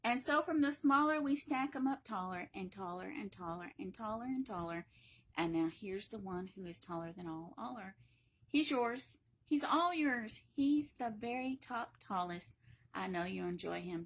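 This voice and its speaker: A woman reading a children's picture book aloud in a playful, expressive way, with emphatic repetition and chatty asides. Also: medium pitch, moderate pace. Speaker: library read-aloud reader.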